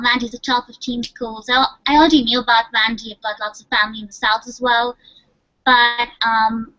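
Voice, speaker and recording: A young university student from India speaks on a panel in an upbeat, chatty way. Her voice sits a little high. The audio is dry and dull, like a video call. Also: sharp tone, no echo. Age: young adult. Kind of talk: casual panel talk.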